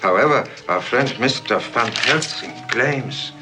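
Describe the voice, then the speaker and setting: A solemn older man, explaining ancient prophecy in a film. His delivery is very slow and weighty, mid-pitched, with music playing clearly behind him. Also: animated, sharp tone. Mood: solemn, ominous. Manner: solemn, measured, portentous. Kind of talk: dramatic film dialogue.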